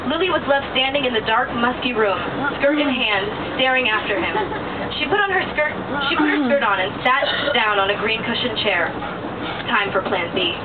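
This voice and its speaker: A woman's voice: fairly high pitch, expressive, moderate pace. A woman giving a theatrical dramatic reading of a parody romance to a live audience, breaking off into amused asides. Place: live event with loud audience. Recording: lo-fi, far from mic, very noisy crowd.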